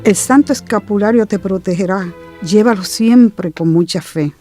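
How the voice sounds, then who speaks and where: A woman's voice: medium pitch, moderate pace, mellow, faint music behind, natural voice. A woman playing a tender, pious mother in a radio drama, speaking earnestly to her son.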